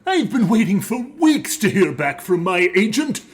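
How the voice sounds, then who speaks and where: Actor as vain male villain, high and swooping, relishing every word. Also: sing-song, normal speed. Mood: showy.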